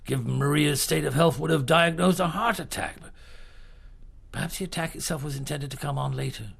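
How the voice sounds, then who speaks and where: An actor in a radio drama playing a shrewd, relentless middle-aged detective chief, questioning deliberately with pauses in a husky, gravelly voice. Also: male voice, a little high, fairly bright tone, exaggerated voice. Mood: accusing, measured.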